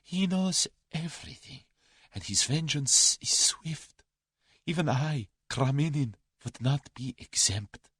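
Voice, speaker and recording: A man voicing a cowardly, haughty aristocrat in an audiobook story, formal and halting. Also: lively intonation, breathy edge, no room sound, studio quality, exaggerated voice. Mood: sullen dread. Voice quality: soft-spoken, high when agitated.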